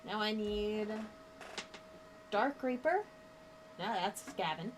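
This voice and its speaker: A young woman in her twenties chats casually, poking fun at herself between requests for paints. Her voice sits at a middle pitch over a faint hiss. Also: animated, slow pace. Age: 20s. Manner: chatty, self-deprecating. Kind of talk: casual chat while painting miniatures.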